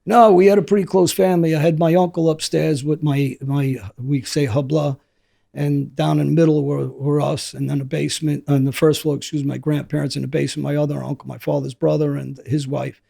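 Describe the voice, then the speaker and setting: A middle-aged man with a hoarse, gravelly voice, telling his story as an interview guest in a rambling way that often restarts. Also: a little high, little pitch movement, a little fast, warm tone. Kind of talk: candid storytelling.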